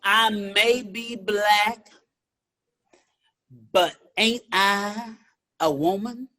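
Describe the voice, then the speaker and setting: A woman's voice: mid-range voice, extremely slow, bright, forceful tone. A woman declaiming emphatically in a theatrical oratory style.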